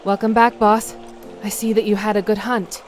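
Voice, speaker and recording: A woman voicing a steady, serious underground rebel, telling things in a measured way in a comic dub. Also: medium pitch, lightly acted. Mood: welcoming. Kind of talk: amateur comic dub acting. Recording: music behind the voice.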